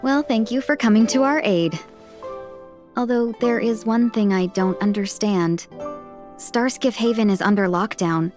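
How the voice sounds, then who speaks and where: A woman's voice: medium pitch, a little mellow, close to an everyday voice. A woman playing a courteous, playful young fox-tailed merchant in a video game, speaking with polite, coaxing formality. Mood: polite, questioning. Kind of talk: English video game voice acting.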